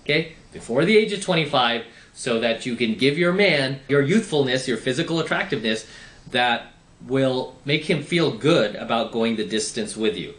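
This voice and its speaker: A man's voice: mid-range voice, animated, moderate pace, a bit bright, echoey room. A man lecturing on dating in an opinionated advice monologue, sarcastic and swearing now and then. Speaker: dating commentator.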